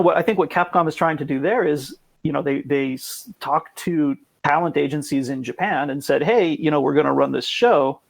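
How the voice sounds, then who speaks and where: A man in casual podcast conversation, explaining things in a rambling, hedging way with a gravelly, husky voice. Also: fairly high pitch, no echo. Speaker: podcast guest explaining esports.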